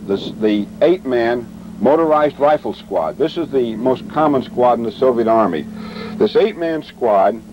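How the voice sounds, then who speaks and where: A man's voice: mid-range voice, expressive, measured pace, highs cut off. Male military instructor, noisy vintage film. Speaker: weapons instructor and presenter. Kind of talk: military training lecture with demonstration. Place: outdoors at a weapons demonstration. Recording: vintage training film, noisy outdoor sound.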